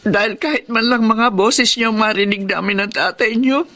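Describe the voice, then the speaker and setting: A woman's voice: a little high, normal speed, a bit bright, close to an everyday voice. An actress playing a tender, motherly elderly Filipino grandmother in a sentimental drama, with a plaintive delivery. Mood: tender, supportive. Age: older. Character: tender elderly grandmother, heartsick yet supportive. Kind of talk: sentimental radio drama acting.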